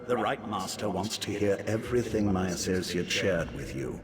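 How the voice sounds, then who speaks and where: An actor playing a curious, easily peeved scholar of the occult in a video game, speaking in a measured, musing and expressive way. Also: male voice, sits low, over a noisy crowd. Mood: frank, regretful. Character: male scholarly occult researcher, curious, peeved, dryly polite. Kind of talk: video game character dialogue.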